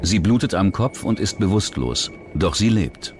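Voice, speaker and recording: A man reading scripted true-crime documentary narration in a measured, dramatic storytelling manner. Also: deep voice, animated, noisy background.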